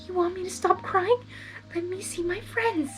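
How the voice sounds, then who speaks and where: Woman voicing a high, soft pleading pony girl. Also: fairly even intonation, moderate pace, faint music behind. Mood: upset, insistent. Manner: earnest, pleading. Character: soft, high-voiced, pleading young female pony, gentle but determined.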